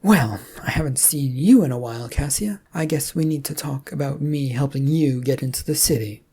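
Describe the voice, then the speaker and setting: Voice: medium pitch, moderate pace, warm tone, slightly rough. A storybook narrator voicing a bossy, combative princess with an imperious, theatrical manner. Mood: dramatic. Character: bossy, troubled, combative princess in torn dress.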